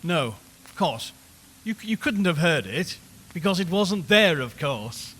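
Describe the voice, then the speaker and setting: An actor playing an unnerved radio reporter in an audio horror drama, rambling and repeating himself with forced cheer as he slowly loses his nerve. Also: fairly high pitch, big pitch swings, fairly bright tone, heavily acted. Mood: uneasy, musing. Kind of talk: audio horror drama, live broadcast monologue. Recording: long hollow reverb, clear background noise.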